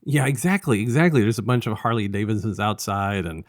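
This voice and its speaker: A man co-hosting a casual review podcast, talking in a halting, rambling way and often restarting his sentences. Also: on the low side, animated. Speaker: podcast co-host.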